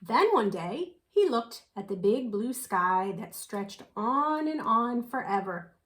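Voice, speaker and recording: A woman reading a children's picture book aloud in an expressive way, with dramatic emphasis. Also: a little high. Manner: animated, unhurried, dramatic emphasis. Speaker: children's story reader.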